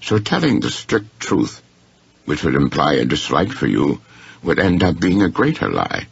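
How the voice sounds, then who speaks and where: A man voicing a courteous, shrewd, unflappable gentleman in a story, speaking formally and with deliberate precision. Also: a bass voice, huge pitch swings, vocal fry, husky, no room sound, dull audio, heavily acted. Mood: gently pointed.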